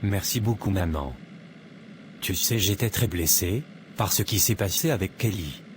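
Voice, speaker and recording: Man acting a low-voiced earnest young son. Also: measured pace, slight background noise. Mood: grateful, sincere.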